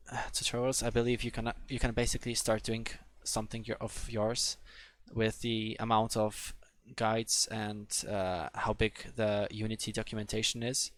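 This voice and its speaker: A young man with a Polish accent, giving a live coding tutorial in a hesitant, filler-heavy way with frequent restarts. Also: medium pitch. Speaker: coding instructor.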